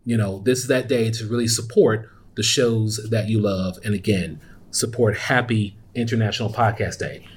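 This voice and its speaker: A man's voice: lowish voice, fairly even intonation, moderate pace, slight background noise. A man hosting a podcast, giving upbeat, conversational announcements in a casual solo style. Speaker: podcast host.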